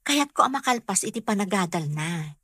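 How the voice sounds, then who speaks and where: Bright-voiced, sensible young Ilocano woman. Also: mid-range voice, very animated, normal speed, slightly rough, no echo, clean studio sound, full character voice. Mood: admonishing. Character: sensible, dutiful young working woman, firm and practical. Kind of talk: Ilocano radio comedy-drama acting.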